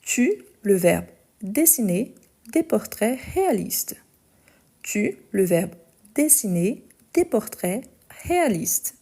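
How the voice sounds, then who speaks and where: A woman teaching French in a live lesson, dictating each sentence slowly and patiently explaining the conjugation rules. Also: mid-range voice, very animated, gravelly, warm tone, slightly rough. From Portugal. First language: Portuguese.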